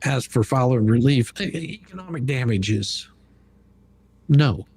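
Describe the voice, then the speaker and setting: A man with a slightly husky voice hosting a casual livestream commentary in a blunt, mocking, colloquial way. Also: medium pitch, expressive, measured pace, a little mellow. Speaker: livestream host.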